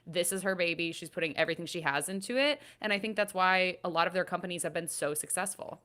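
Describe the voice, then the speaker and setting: A woman's voice: lowish voice, fast, bright, forceful tone. A woman panelist on an entertainment recap show, discussing things with enthusiasm in an earnest, explanatory way.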